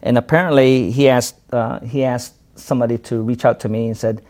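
Gravelly-voiced man from the Philippines. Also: medium pitch, steady tone, darkish tone. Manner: halting, many fillers and restarts. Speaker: guest, self-defense instructor. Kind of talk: casual interview conversation.

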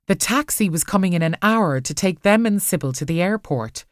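A woman narrating an audiobook story in an expressive, scripted reading. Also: fairly low pitch, moderate pace, no room sound, studio quality.

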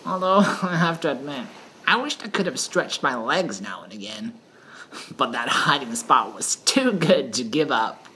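Woman playing a low-voiced, wry gnome adventurer. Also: big pitch swings, a little slow, sharp tone, heavily acted. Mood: playful, wry. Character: seasoned, wry gnome adventurer and eavesdropper, reassuring mentor. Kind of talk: fantasy audio drama acting. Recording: noticeable ambient background noise.

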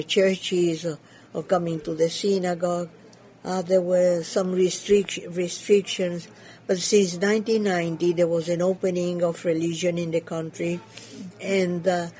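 Older woman with a Cuban Spanish accent, Yiddish as her first language; halting, dark-toned interview answers. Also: fairly low pitch, rough recording. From Cuba. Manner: hesitant, searching for English words. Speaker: community leader. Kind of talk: interview conversation.

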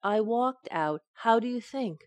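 An actress playing a feisty, playful housewife in a 1940s-style radio sitcom, with wry, teasing delivery. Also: female voice, a little high, animated, unhurried, darkish tone, no room sound. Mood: exasperated.

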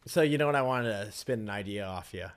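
A man's voice: lowish voice, animated, fast, clear, resonant tone. A man co-hosting a casual talk show, rambling loosely with swearing and joking asides. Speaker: podcast co-host. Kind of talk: casual chat.

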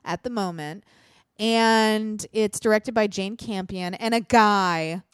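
A woman's voice: medium pitch, slow pace, clear, resonant tone, no room sound. Chatty woman podcast host, dry close mic. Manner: chatty, profane, laughs at her own slips. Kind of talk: casual chat.

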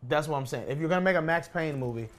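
A man's voice: on the high side, racing. A man speaking as a podcast co-host in a casual debate, insistent and repeating himself for emphasis.

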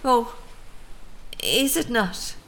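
A woman's voice: fairly high pitch, expressive, extremely slow, a bit bright, full character voice. A middle-aged Irish woman playing an ordinary mother with a secret life as an assassin, plain-spoken and wry, in an audio drama. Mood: wry, confessional.

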